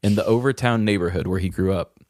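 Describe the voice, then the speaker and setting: Male podcast co-host, somewhat deep voice, conversational and loose, dry studio-tight sound. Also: quick pace, darkish tone, no room sound. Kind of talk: casual comedic chat, telling odd crime stories.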